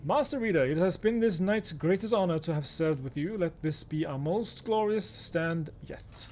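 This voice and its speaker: Man playing an earnest, gallant knight, higher voice. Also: moderate pace, darkish tone, a little hiss or hum, natural voice. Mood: proud. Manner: formal, gallant. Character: earnest, loyal, proud knight. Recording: game music behind the voice.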